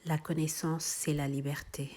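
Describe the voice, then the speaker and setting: A woman with a very low voice completes and repeats phrases. Her voice is flat, with little rise and fall, and at times drops to a near whisper. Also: normal speed, slight background noise.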